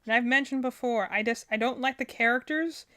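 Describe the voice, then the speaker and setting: A woman's voice: a little high, fairly quick, bright, forceful tone. A woman giving casual, unscripted reaction commentary as a streamer, rambling and thinking aloud as she goes. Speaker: streamer reacting to game videos.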